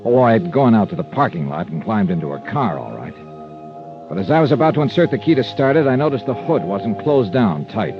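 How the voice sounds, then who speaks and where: An American actor playing a tough, wry insurance investigator in 1950s radio drama, clipped and sardonic. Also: male voice, on the low side, sing-song, fairly quick. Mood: brisk narrating. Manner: hard-boiled, clipped, sardonic. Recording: dull old radio recording with music.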